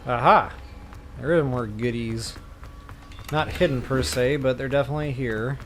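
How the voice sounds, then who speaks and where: A man's voice: medium pitch, moderate pace, noisy background. A man hosting a video game walkthrough, giving casual commentary as he plays. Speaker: gaming walkthrough host. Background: game music.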